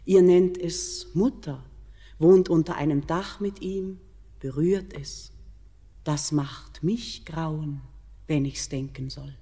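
An actress playing an ancient queen of the mountain, speaking calmly and gently in a classic radio play. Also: deep voice, slow pace, slightly rough, exaggerated voice. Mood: longing. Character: ancient underground queen of the mountain, calm and longing. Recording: long hall reverb.

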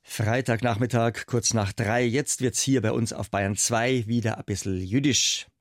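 A man hosting a radio program, speaking in a measured, solemn way. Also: medium pitch, fairly even intonation, no echo, studio quality. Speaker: radio host. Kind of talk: scripted radio presentation.

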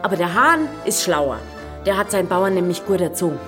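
A woman playing a defiant, quick-witted young inn heiress with a Bavarian accent in a radio drama. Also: lowish voice, very animated. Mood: cheeky, argumentative. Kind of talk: German radio drama acting. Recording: faint music behind.

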